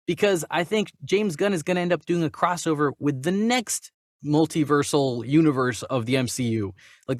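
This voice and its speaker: A man's voice: a little high, no echo, clean studio sound. A man hosting a discussion show, giving opinions casually and emphatically, often repeating phrases as he builds his point. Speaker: host of a movie news show.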